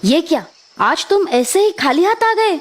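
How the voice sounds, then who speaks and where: A woman's voice: very high voice, sing-song, measured pace, full character voice. A woman voicing a greedy, discontented fisherman's wife in a children's cartoon, demanding and melodramatic. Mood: indignant.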